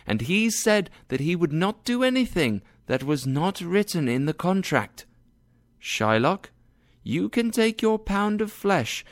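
A man voicing a young woman disguised as a wise judge, speaking in a raised, slow, emphatic voice. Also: sits high, pitched above the performer's own voice.

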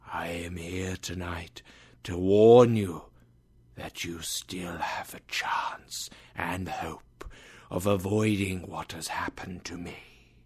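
A man voicing the ghost of a dead businessman, whispering slowly and gravely. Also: deep voice, fairly even intonation, pitched below the performer's own voice, exaggerated voice. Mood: warning. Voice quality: whispery, hushed. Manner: slow, grave. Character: ghost of a dead old businessman in chains, mournful.

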